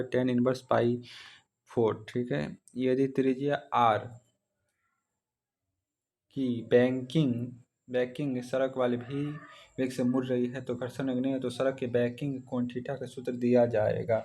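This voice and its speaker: A man's voice: mid-range voice, darkish tone. An Indian man teaching exam practice, reading out questions and following each with a short answer.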